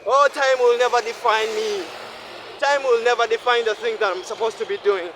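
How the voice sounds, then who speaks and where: A man in his twenties from Uganda, talking to himself in a repetitive, preachy monologue while walking a city street at night, sweaty from walking fast. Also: very high-pitched, steady tone, a little hiss or hum, low-quality recording. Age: 20s. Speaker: vlogger. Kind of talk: rambling night-walk monologue.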